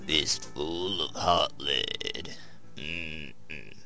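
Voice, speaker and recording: Man, very low, gravelly, cowboy drawl, gameplay commentary, music underneath. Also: extremely slow, sharp tone. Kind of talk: casual let's-play commentary. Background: game music.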